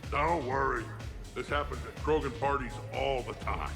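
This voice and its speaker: A brash, easygoing alien brawler in a video game, acted by a man. His voice is rough and creaky at an average pitch, with music playing behind him. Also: moderate pace, lightly acted.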